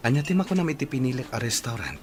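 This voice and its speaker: An actor with an Ilocano accent playing a tender, devoted young suitor in a radio drama, coaxing and earnest. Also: male voice, mid-range voice, very animated, racing, noisy background, lightly acted. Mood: earnest, pleading.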